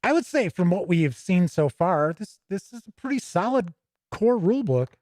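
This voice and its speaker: A man with a slightly high voice hosts a casual hobby livestream. He talks informally, thinking aloud as he browses and chats to viewers. The sound is dry, with very little room in it. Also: very animated, moderate pace, a little mellow, no echo. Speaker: host of a tabletop gaming news and review show. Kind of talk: casual livestream walk-through of a game book, chatting with viewers.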